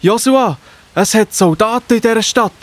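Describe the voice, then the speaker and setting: A man's voice: sits high, normal speed, lightly acted. A man with a Swiss German accent playing an earnest, devout spy in a children's Bible audio drama, speaking plainly. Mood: excited, urgent. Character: earnest, devout male spy serving God's people.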